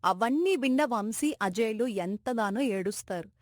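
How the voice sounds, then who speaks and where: A woman's voice: fairly high pitch, animated, measured pace, darkish tone, no echo, studio quality. A woman reading scripted narration for a moral story.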